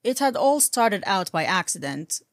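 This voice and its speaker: A woman's voice: medium pitch, sing-song, normal speed, no room sound, studio quality. A woman reading fan fiction aloud as a narrator, in a scripted storytelling style.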